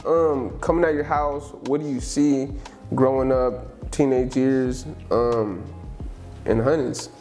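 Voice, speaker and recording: A man hosts a casual interview in easy street slang, with a medium-pitched voice. He chimes in with quick agreement as his guest talks. Faint music runs in the background. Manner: relaxed, slangy, quick back-channel agreement. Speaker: podcast host.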